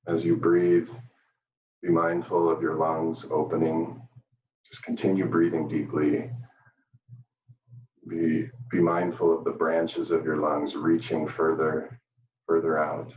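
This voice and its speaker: A man leading a live guided meditation, speaking gently and soothingly with long pauses, recorded at a distance in a room with a dull sound. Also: very deep voice, monotone. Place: indoor room, live virtual event. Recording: dull, roomy, distant microphone.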